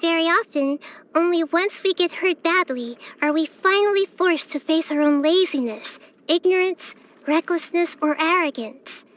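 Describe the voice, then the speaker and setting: A woman voicing a wise, gentle, curious child-like goddess in a video game, with a light youthful voice and earnest, thoughtful phrasing. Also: extremely high pitch, normal speed. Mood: wise, reflective. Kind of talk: video game character voice lines.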